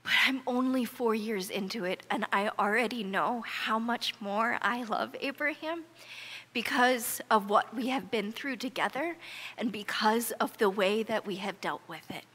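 A woman's voice: a little high, unhurried, fairly bright tone. A middle-aged American woman pastor preaching a sermon in an emphatic, earnest, conversational storytelling manner. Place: church sanctuary, preaching before a congregation. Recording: reverberant church hall.

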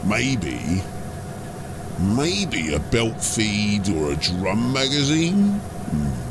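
A man voicing a scheming, brutish ork mechanic in a fantasy audiobook, with a gravelly voice and broken grammar. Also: low-pitched, noisy background, exaggerated voice. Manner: slow, broken grammar, mulling aloud.

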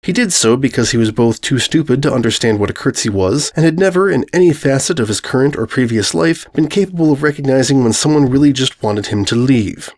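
A man's voice: mid-range voice, brisk, hardly any pauses. A man reading scripted comic fan fiction as narrator, in a dry, wry manner. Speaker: story narrator.

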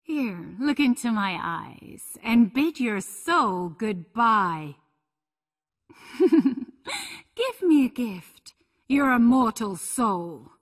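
An actress voicing a seductive, playful, teasing succubus demon in a fighting video game, with a sultry, flirtatious delivery. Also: female voice, on the high side, big pitch swings, extremely slow, full character voice. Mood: flirtatious, taunting.

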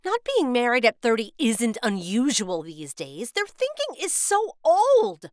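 Office sweetheart, about thirty, female actor: high, very lively voice, confident, coaxing, takes charge. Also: measured pace, dry recording, studio quality. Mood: weary. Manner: assured, expressive, coaxing. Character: confident, cheerful thirty-year-old office beauty, take-charge and persuasive. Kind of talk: manga dub dialogue.

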